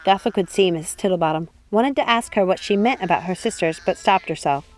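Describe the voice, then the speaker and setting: A woman's voice: fairly low pitch, brisk, soft background music. A woman reading scripted storybook narration as an audiobook narrator.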